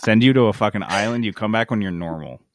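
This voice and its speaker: A man's voice: lowish voice, fast talker, no room sound, studio quality. A man chatting casually as a gaming podcast host, with loose, irreverent, sweary talk. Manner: profane, irreverent.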